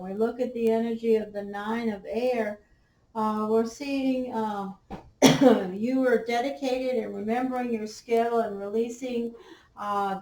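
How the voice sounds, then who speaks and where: Woman, tarot reader, hoarse, very slow, halting, distant mic, faint background hum. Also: fairly high pitch, a little mellow. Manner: slow, halting, many fillers. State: slightly losing her voice. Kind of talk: unhurried tarot reading. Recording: roomy, off-mic, faint ambience.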